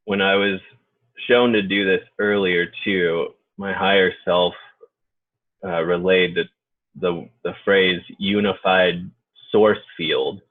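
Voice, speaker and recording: A man leading an unscripted guided group meditation in an informal, halting way. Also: deep voice, fairly even intonation. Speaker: guided meditation leader and healer. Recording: muffled phone-like narrow-band audio.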